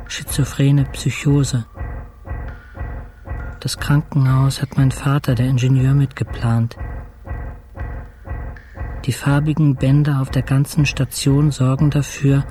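A man acting as a haunted male patient in a literary radio drama, recounting his ordeal. His delivery is very unhurried and fragmentary, in a soft, dark tone, with music underneath. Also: medium pitch, lightly acted. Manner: measured, terse, fragmentary phrasing. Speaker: actor narrating a radio play in first person.

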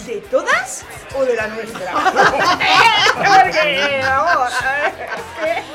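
An actress plays a candid, giggly woman in a comic audio play. Her high voice swings widely but takes its time. Music plays clearly behind. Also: lively intonation, drawn-out words, over a noisy crowd, exaggerated voice. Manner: giggly, conversational. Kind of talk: comic theatrical audio drama.

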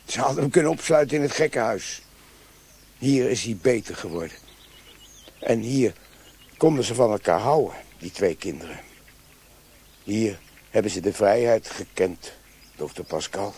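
An actor playing a stubborn, fiercely anticlerical freethinker with a gruff, emphatic manner in a Dutch radio drama. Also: male voice, fairly low pitch, animated, normal speed, long pauses, darkish tone. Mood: bitter sorrow.

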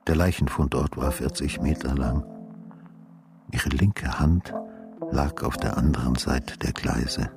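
A brooding, haunted detective in a radio play. His voice is extremely deep and gravelly, with music behind it. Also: a little slow, full character voice. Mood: sombre, brooding. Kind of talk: radio drama acting, brooding narration.

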